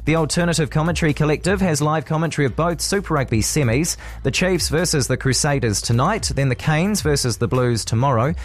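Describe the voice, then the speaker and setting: A man's voice: fairly high pitch, a little fast, few pauses. A man with a New Zealand accent reading a scripted radio news bulletin. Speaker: radio newsreader.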